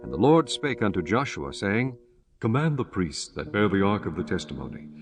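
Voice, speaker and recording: A man narrating scripture for an audiobook in a solemn, measured and formal reading style. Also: on the low side, very animated.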